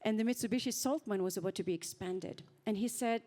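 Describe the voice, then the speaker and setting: A woman who speaks on a panel, telling her story in an earnest, reflective way with thoughtful pauses. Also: mid-range voice, warm tone. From Norway. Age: 50s.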